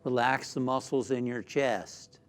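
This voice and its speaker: Male meditation leader, mid-pitched, slow and deliberate spiritual delivery with soothing pauses, clear musical bed. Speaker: minister leading a guided meditation. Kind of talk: guided meditation, spiritual talk. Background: background music.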